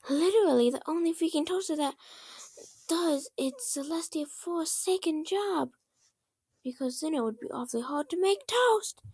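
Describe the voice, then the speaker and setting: A young pony princess in a towering rage, played by a woman reading a story aloud. The voice climbs very high as she rants with melodramatic exasperation. It sits dry, with little room around it. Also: lively intonation, normal speed, a little mellow, no room sound. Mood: bitter sarcasm. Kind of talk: amateur fan fiction character reading.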